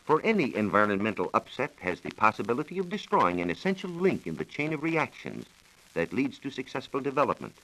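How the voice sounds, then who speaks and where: An American man giving a scripted science talk on 1940s radio, explaining in a measured, formal manner. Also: medium pitch, big pitch swings, bright, forceful tone, low-quality recording. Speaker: science reporter explaining research. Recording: old 1940s radio broadcast recording.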